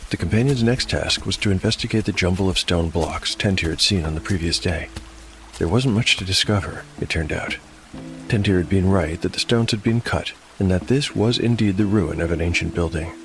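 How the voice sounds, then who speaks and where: A man with a low voice reads as narrator and host of a fantasy audio show. His delivery is measured and articulate, with music clearly underneath. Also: a bit bright, poor audio. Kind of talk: scripted dark fantasy narration.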